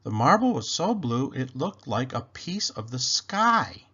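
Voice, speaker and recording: A man reading a children's story aloud in an expressive, animated, deliberate way. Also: medium pitch, breathy edge, dull audio. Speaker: storybook read-aloud host.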